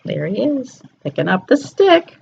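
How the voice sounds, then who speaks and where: A woman's voice: on the high side, sing-song, unhurried, creaky, a little mellow, breathy edge, lo-fi sound. A woman storyteller reads a picture book aloud to young children, expressively, often putting questions to her listeners.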